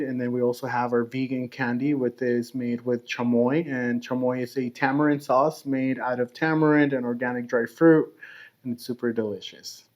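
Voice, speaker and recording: A man from Mexico, guest on a podcast, answering casually and steadily with frequent pauses and light laughs. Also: medium pitch, darkish tone. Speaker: podcast guest, snack brand founder.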